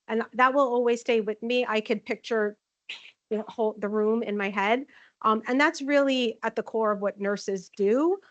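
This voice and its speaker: A loud-voiced woman presents at a conference, friendly and chatty with plenty of "um"s. Her voice sits a little high and the sound is dry and slightly dull, with the mic close. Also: no echo. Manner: friendly, chatty, frequent "um" fillers. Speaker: conference presenter, nursing informatics manager. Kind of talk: conference talk with slides. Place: conference room. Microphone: handheld or podium microphone.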